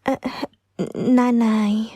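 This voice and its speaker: An actress with an Ilocano accent playing a meek, anxious young wife in a radio drama, hesitant and placating, with stammers. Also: female voice, on the high side, flat delivery, very slow, dark tone, husky, dry recording, full character voice. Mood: nervous, placating. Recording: dry studio with background music.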